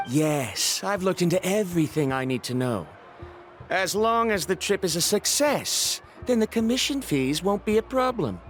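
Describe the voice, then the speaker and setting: An actor playing a courteous, formal visiting scholar asking to hire a guide. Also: male voice, sits high, big pitch swings, moderate pace, faint music behind. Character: formal male foreign scholar, polite.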